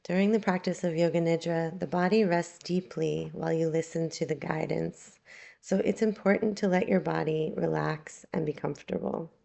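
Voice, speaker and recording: A woman leading a guided relaxation meditation as a yoga nidra guide, calm, soothing and unhurried, with long pauses. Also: deep voice, gravelly.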